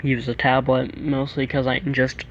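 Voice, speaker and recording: A teenage boy rambles on in a flat, monotone voice, stopping and restarting often and filling gaps with "um" and "like." He speaks into a microphone plugged into a tablet at home. The recording sounds dull and lo-fi, with faint hiss underneath. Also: medium pitch. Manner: rambling, halting, many fillers and restarts. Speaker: vlogger. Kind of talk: rambling early-morning vlog. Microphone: plug-in microphone on a tablet.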